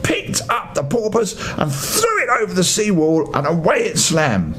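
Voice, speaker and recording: An older man reading a bedtime story to children, playful and expressive, speaking to his young listeners. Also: sits high, moderate pace, over music.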